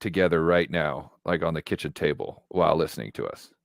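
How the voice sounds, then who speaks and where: A man's voice: rumbling low, a little fast, gravelly, dry recording. Slangy man bantering on a sports show, dry sound. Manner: slangy, informal, peppers talk with check-in phrases. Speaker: co-host of a sports fan show. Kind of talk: casual sports fan banter.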